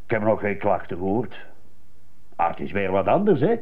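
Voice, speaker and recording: An actor playing a laid-back prison guard who is firm about the rules, in a radio drama. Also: male voice, on the low side, big pitch swings, normal speed. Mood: easygoing. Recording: thin phone-like radio tape.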